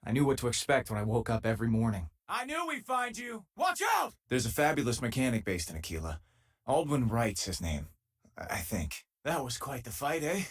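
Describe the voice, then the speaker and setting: A man playing a loyal, easygoing former miner and spaceship crewmate in a video game, with a husky, casual, wry delivery. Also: medium pitch, wildly swooping pitch, brisk, heavily acted. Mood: urgent, shouting. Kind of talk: video game companion dialogue.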